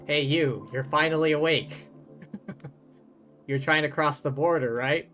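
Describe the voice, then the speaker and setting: A man's voice: fairly high pitch, fairly even intonation, takes it slowly, a bit bright, soft background music. A man streaming a video game, giving casual live commentary, musing aloud in a jokey way.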